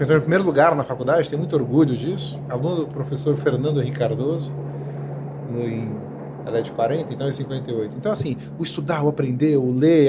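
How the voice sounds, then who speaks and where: A middle-aged man from Brazil, a podcast guest thinking aloud in relaxed interview talk with many restarts. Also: medium pitch, slightly rough. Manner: reflective, lots of fillers and restarts. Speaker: podcast guest, learning specialist. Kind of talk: conversational interview.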